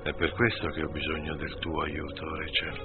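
An actor playing a weary, bitter bounty hunter in an Italian radio drama, terse and downcast. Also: male voice, rumbling low, completely flat delivery, moderate pace. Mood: tired, dejected. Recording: muffled phone-like audio, music behind.

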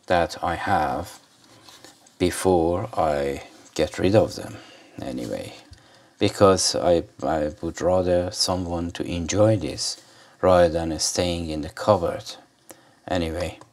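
Male gadget reviewer, deep pitch, unhurried and rambling, casual hands-on demo. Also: vocal fry. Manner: rambling, hesitant. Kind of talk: casual unscripted product demonstration.

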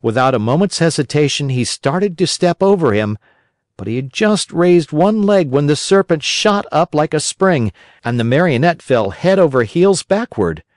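A man's voice: fairly high pitch, expressive, normal speed, a little mellow, no room sound, studio quality. A man narrating a children's story as a scripted audiobook.

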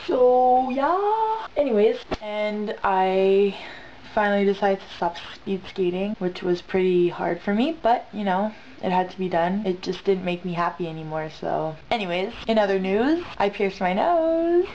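A woman's voice: medium pitch, lively intonation, unhurried, warm tone. Stuffed-up young woman vlogging casually. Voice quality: stuffed-up, congested. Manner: rambling, emphatic repetition, slangy. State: sick with a stuffy nose. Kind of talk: casual, chatty vlog to camera. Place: small bedroom, indoors on a bed. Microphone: built-in camera mic at arm's length. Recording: dull lo-fi camera sound, light noise.

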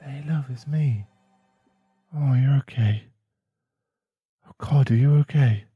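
A man's voice: mid-range voice, takes it slowly, slightly rough, dry recording, lo-fi sound, faint music behind. A man playing a protective, tender werewolf boyfriend in an ASMR roleplay, speaking in a soothing, reassuring manner. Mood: anxious, searching.

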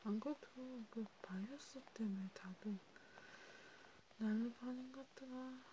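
A woman speaking in a soft, breathy, often whispered murmur, gently and hesitantly, as if chatting one-to-one in an ASMR roleplay. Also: on the high side, measured pace, slight background noise, rough recording. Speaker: ASMR host.

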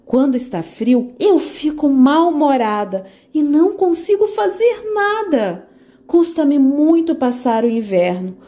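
A woman's voice: high-pitched, very animated, measured pace, pitched above the performer's own voice. A woman voicing a polite young peasant girl in a children's story. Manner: gentle, polite and apologetic.

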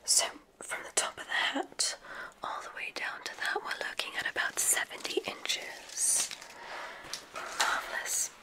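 Whispering witch dean played by a woman. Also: close to an everyday voice. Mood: focused, murmuring. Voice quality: breathy whisper. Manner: genteel, unhurried, welcoming. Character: gracious, whimsical witch dean of a magic school. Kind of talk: ASMR medical roleplay.

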